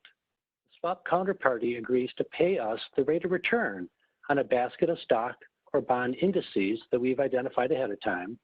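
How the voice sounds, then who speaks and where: A man giving a prepared, formal webinar presentation in a measured, explanatory manner, as a corporate executive. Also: medium pitch, slightly rough. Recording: muffled phone line, roomy echo.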